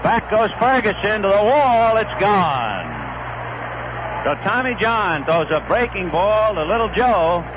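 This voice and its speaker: A man calling a baseball game live on the radio, swinging from calm analysis between pitches to rising excitement on big plays, in a 1970s broadcast. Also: dull audio, background noise. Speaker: play-by-play announcer. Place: stadium broadcast booth.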